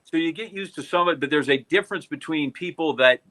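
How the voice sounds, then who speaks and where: A middle-aged or older American man reminiscing casually, in a halting, rambling conversational way. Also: a little high, pushed and bright.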